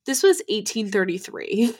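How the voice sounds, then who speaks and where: A woman's voice: mid-range voice, very animated, moderate pace, a little mellow. A young woman, nasal from a cold, co-hosting a podcast and telling ghost stories in a chatty way full of "like" fillers. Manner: chatty, many "like" fillers and restarts. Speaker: podcast co-host. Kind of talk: casual chat about ghost stories.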